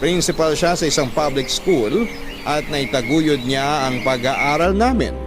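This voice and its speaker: A man's voice: fairly high pitch, measured pace, over music, close to an everyday voice. A man playing a middle-aged musician who narrates a life story as a scripted letter to a radio show. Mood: reflective.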